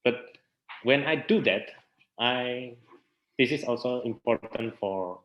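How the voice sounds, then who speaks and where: A man's voice: mid-range voice, takes it slowly, muffled recording. A man from Indonesia with an Indonesian accent, a native Indonesian speaker, answering interview questions hesitantly as he searches for words in English. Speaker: theater practitioner interview guest.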